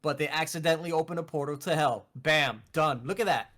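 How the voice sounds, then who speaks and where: An excitable man with a voice a little on the high side, talking casually as a podcast co-host. He is emphatic and slangy, chatting about games and films. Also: a little fast. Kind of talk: casual video game and movie chat.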